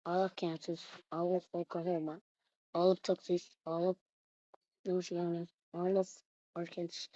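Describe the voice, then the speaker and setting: A woman reading out an improvised mock emergency alert as the announcer, in a halting way with repeated phrases. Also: fairly low pitch, flat delivery, dark tone, no echo. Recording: muffled lo-fi recording.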